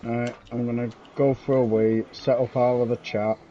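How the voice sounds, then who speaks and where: A man's voice: mid-range voice, flat delivery, moderate pace, mellow, slight background noise. A man racing online, muttering his lap times and swearing casually as he chats with friends before a race. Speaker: sim racing driver. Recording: dull lo-fi voice chat.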